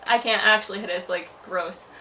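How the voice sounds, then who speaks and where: A woman's voice: medium pitch, steady tone, fairly quick, very noisy. Hesitant young woman, distant-mic vlog. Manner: hesitant, self-deprecating, informal. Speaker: vlogger. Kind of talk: casual confessional talk to camera.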